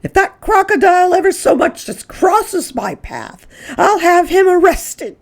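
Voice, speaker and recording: Woman putting on a shrill, outraged lady. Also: sing-song, measured pace, full character voice. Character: indignant, haughty, hysterical lady visitor.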